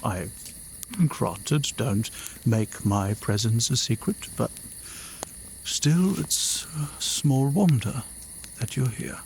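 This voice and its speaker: A man playing a gentle, absent-minded mage in a fantasy ASMR roleplay, soft-spoken and unhurried, sometimes muttering. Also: medium pitch, gravelly, slight background noise. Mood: gentle, reassuring. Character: gentle, absent-minded male mage, hospitable forest dream keeper.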